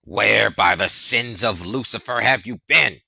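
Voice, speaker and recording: A man voicing a huge, gruff, bearded protector in an audiobook, blunt and scolding but kindly. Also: fairly low pitch, measured pace, sharp tone, dry recording, full character voice. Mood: angry scolding. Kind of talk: audiobook character voice.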